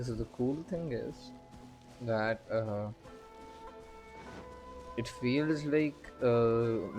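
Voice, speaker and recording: Slow-talking man commentating on a game. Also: medium pitch, a little mellow. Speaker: game streamer. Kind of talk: casual live gameplay commentary.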